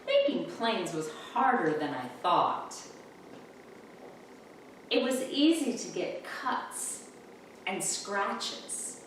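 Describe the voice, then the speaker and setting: A woman reads a children's picture book aloud, taking her time with very slow, deliberate phrasing. Her delivery is expressive, with pitch that moves freely. The recording is very echoey and sounds some distance from the microphone. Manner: deliberate, expressive storytelling in first person. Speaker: storybook reader. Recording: echoey, distant mic, faint room noise.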